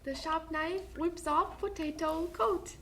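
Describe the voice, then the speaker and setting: Audio drama: eager, deferential servant, tiny very high voice, slow broken phrasing, faint noise. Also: female voice, steady tone, pushed and bright, heavily acted. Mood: eager, humble.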